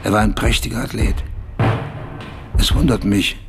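A man's voice: fairly low pitch, fairly even intonation, moderate pace. A man reading literary scripted narration in a radio play. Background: loud music.

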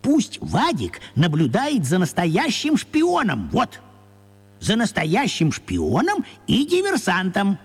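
An actor playing a fussy, excitable man in a radio play, stammering and hurried. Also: high voice, husky, in a large hall, full character voice. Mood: insistent.

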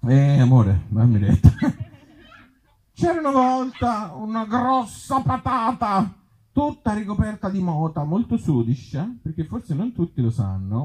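A man with a slightly high, husky voice reads absurd little tales live. His pitch swings widely, yet the tone stays mellow. He delivers nonsense deadpan and breaks off for offhand, hesitant asides. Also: low-quality recording. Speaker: author and actor reading his comic tales at a live event. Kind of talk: comic live storytelling, reading absurd short stories aloud with asides.

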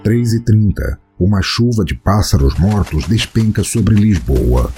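Deep-voiced Brazilian man narrating theatrically. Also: animated, a little slow, mellow. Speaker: podcast host and narrator. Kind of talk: scripted podcast narration and storytelling. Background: music.

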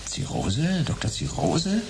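An actor playing a terse, suspicious private detective in a radio drama, dry and probing in manner. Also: male voice, fairly high pitch, wildly swooping pitch, slow, creaky, darkish tone, a little hiss or hum, heavily acted.

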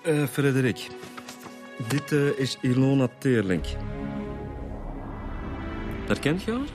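An actor playing a polite, measured Flemish police detective in a radio drama, a little tentative. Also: male voice, medium pitch, sing-song, over music. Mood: probing, polite. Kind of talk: Dutch-language radio drama acting.

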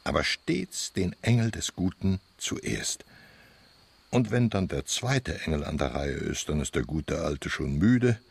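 Very deep gravelly village storyteller. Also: male voice, big pitch swings, a little slow, dry recording, heavily acted. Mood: playfully expansive. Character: earthy older village man, a playful mocking storyteller. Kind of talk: German radio play acting.

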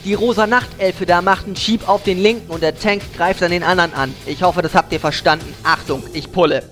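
A man's voice: high-pitched, brisk, fairly bright tone, faint music behind. A man acting a cocky, bossy night elf hunter in a comedic fantasy game audio drama. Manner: arrogant, bragging, commanding.

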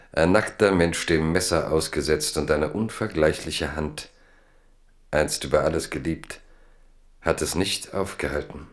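An actor playing a grave, solemn storyteller, narrating a literary radio drama in measured phrases with music behind him. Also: male voice, extremely low pitch. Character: grave, solemn male storyteller of a royal tragedy.

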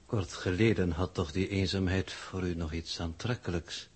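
An actor playing an older, unsentimental coordinator with a husky voice and stiff formal manner, in a 1960s radio drama. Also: male voice, sits low, fairly even intonation. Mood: dry, detached. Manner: stiff, formal, measured wording.

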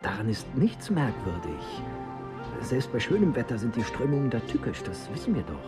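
An islander man talks in a radio play. He is knowing and matter-of-fact, with very animated intonation, and the music around him is loud. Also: mid-range voice, normal speed. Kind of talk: radio drama dialogue.

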